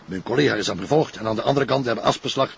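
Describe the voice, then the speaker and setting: Brisk police officer, extremely rough voice, rapid speech, muffled sound. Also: male voice, mid-range voice. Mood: hurried. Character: brisk male uniformed officer reporting a chase.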